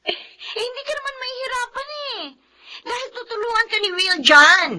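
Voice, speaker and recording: A woman's voice: very high voice, expressive, normal speed, bright, forceful tone, slight background noise, full character voice. A woman playing a materialistic, discontented young wife in a melodramatic Filipino radio drama, whiny and coquettish. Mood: indignant, nagging.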